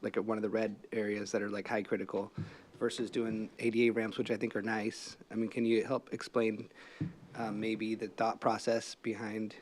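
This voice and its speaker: A man's voice: lowish voice, flat delivery, normal speed, slight background noise. An American man, a city council member, asking questions informally and tentatively, circling around his point in a meeting.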